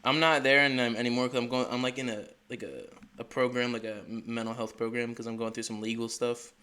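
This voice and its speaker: A nineteen-year-old man from Delaware interviewing a rapper in a rambling, excitable way, full of "like". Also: medium pitch, quick pace. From Delaware, USA. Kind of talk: casual interview chat.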